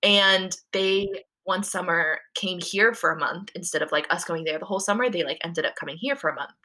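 Chatty young woman with a bright voice. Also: on the low side, a little fast, low-quality recording. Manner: chatty, frequent "like" and "um" fillers. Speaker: podcast guest. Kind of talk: casual interview chat.

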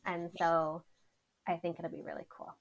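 A woman with a high voice, a business owner talking as a podcast guest in an outspoken, animated way, laughing often. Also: normal speed, gravelly. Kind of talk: casual interview chat.

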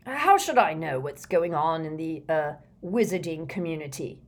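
A storybook Prime Minister, put on by a woman, male, pompous and out of his depth. He splutters in halting, indignant phrases with very lively intonation. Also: fairly high pitch, pitched above the performer's own voice, heavily acted.

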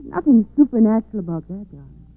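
An actress playing a detective's skeptical, affectionate female companion, brisk and poised, in 1940s radio style. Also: mid-range voice, expressive, dry recording, lightly acted. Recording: muffled narrow-band 1940s radio recording.

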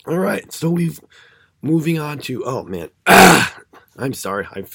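Hoarse man recapping episodes, halting. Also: a little high, very animated, a little mellow. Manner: halting, many fillers and restarts. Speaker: podcast co-host. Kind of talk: casual chat recapping episodes.

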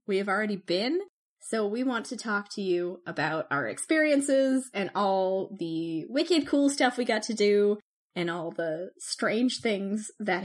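Woman, podcast co-host, chatty, animated storyteller, casual. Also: mid-range voice. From Canada. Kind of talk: casual chat.

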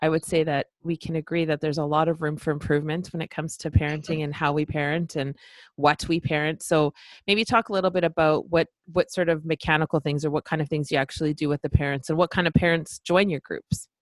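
A woman hosting a podcast, chatty and excitable, casually swearing as she talks. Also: low voice, fast, no room sound. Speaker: podcast host. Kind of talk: casual interview chat.

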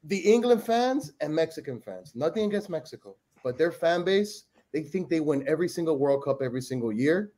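A man from Peru in blunt, animated casual sports chat, cursing freely as a podcast panelist. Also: on the high side, fairly quick, few pauses.

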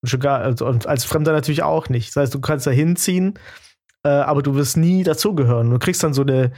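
Higher-voiced man in casual podcast debate. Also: fast talker, darkish tone. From southern Germany, Swabia. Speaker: podcast co-host. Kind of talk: casual, opinionated discussion.

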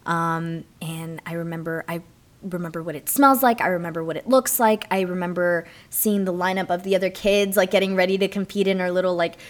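A young woman from Miami, a martial artist guest in a casual interview, telling her story with animated, acted-out quotes. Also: lowish voice, brisk. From Miami, Florida.

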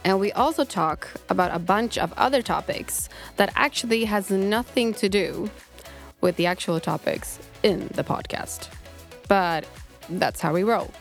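A woman co-hosting a podcast in casual chat, bubbly and quick to giggle. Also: medium pitch, soft background music. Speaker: podcast co-host.

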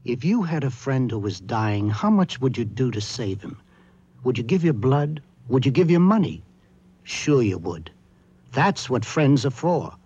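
A man delivering an earnest public service appeal through pointed rhetorical questions in a husky voice. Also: medium pitch, sing-song, a little slow, darkish tone, highs cut off.

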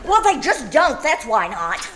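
A woman's voice: high-pitched, big pitch swings, unhurried, fairly bright tone, heavily acted. A woman playing a defensive, huffy teenage daughter in an old-time radio family comedy. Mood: huffy, bickering. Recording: live performance with background noise.

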